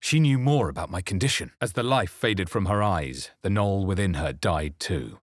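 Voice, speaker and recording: An actor playing a wry, guarded male adventurer in a fantasy game, speaking and narrating in a measured, dramatic, crisply enunciated way. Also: low-pitched, lively intonation, no echo, clean studio sound. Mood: solemn narration. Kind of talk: scripted game voice lines and narration.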